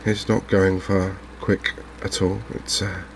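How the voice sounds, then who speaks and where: Low, monotone, soft-spoken man. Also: slow pace, a little hiss or hum. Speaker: gaming video host. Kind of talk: softly spoken ASMR game commentary.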